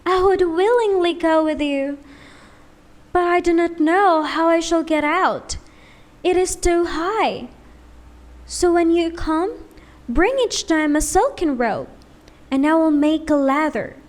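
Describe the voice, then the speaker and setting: A woman voicing a gentle, willing young maiden in a storybook fairy tale. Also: very high voice, slow, pauses often, voice pushed up. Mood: hopeful.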